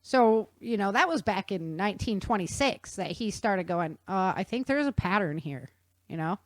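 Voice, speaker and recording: A woman's voice: mid-range voice, lively intonation, normal speed, dry recording, clean studio sound. A woman hosting a podcast, explaining things casually in an informal, nerdy-enthusiast way. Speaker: podcast host.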